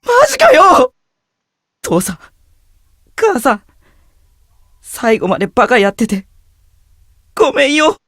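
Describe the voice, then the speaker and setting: A man's voice: very high-pitched, wildly swooping pitch, normal speed, long pauses, a bit bright, no echo, studio quality, exaggerated voice. A man voicing a gullible, melodramatic teenage schoolboy as the comic sidekick in a Japanese visual novel. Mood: frantic outrage, then tearfully solemn. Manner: melodramatic, swinging from shrieks to mournful murmurs.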